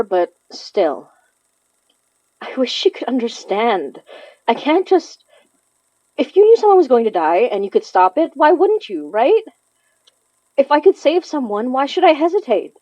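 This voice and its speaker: A young Filipino woman with a conflicted, grieving heart, confiding haltingly as if leaving a private message. Her Filipino-accented voice sits at a medium pitch. The sound is very dry and slightly dull, like an intimate audio drama monologue. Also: warm tone, dry recording. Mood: frustrated, reflective, then pleading. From Philippines. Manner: halting, sighing, confessional.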